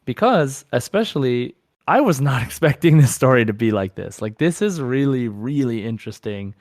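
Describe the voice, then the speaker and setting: A man's voice: mid-range voice, animated, moderate pace, a little mellow, no room sound, clean studio sound. A man chatting casually as a podcast co-host about games, in an enthusiastic, rambling way. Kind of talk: casual gaming podcast chat.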